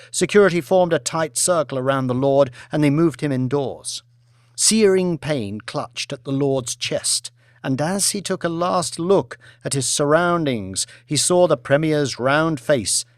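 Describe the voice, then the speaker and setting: Animated male audiobook narrator. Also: medium pitch, no echo, clean studio sound. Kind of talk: scripted dramatic narration.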